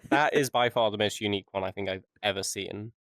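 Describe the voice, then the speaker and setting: Lowish-voiced man chatting casually. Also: fast talker, fairly bright tone, no echo. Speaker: podcast co-host. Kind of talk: casual chat.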